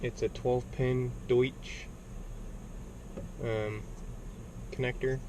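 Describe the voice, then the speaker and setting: Guy doing a diy vlog, talks real slow and plain, kinda wry, noisy vehicle background. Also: mid-range voice, flat delivery, a little mellow. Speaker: DIY truck repair vlogger. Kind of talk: casual vlog narration while working. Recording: background noise of vehicle and hum.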